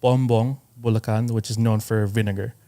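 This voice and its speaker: A man from Southern California with an American accent, explaining things informally as a podcast guest in a thoughtful, halting way. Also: on the low side, level tone, mellow. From Southern California, United States. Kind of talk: casual conversational explaining.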